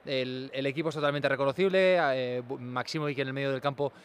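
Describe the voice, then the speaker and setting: A man's voice: medium pitch, steady tone, quick pace, clean tone. A man with an accent from Spain giving live football preview analysis as a radio reporter, often repeating words as he builds long thoughts. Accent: Spanish (Spain). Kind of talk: live match preview commentary.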